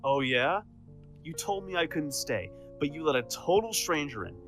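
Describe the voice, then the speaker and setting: A man acting a bright-voiced, proud supervillain. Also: fairly high pitch, big pitch swings, normal speed, faint music behind. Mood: indignant, hurt. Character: proud, defiant supervillain man with a wounded soft side. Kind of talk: audio drama acting.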